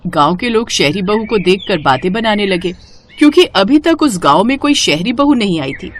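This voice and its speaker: A woman narrating a scripted cartoon moral story in an expressive storytelling manner. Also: medium pitch, normal speed, slight background noise.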